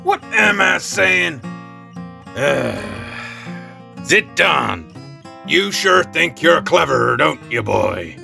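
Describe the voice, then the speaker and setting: Woman doing a gravelly, blustering old troupe boss. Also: sits low, sing-song, bright, forceful tone, soft background music, pitched below the performer's own voice, full character voice. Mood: flustered, scolding. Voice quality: gravelly, creaky. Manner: slow, blustering, crude. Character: gruff, scheming older male boss of a theatre troupe. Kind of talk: theatrical fantasy audiobook acting.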